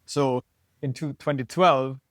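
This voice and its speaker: Man in his 20s, from Sweden, calm explainer, mid pitch, dry sound. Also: slow, no room sound. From northern Sweden. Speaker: physics PhD student, guest. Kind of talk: explanatory interview answers. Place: lab building at a physics facility.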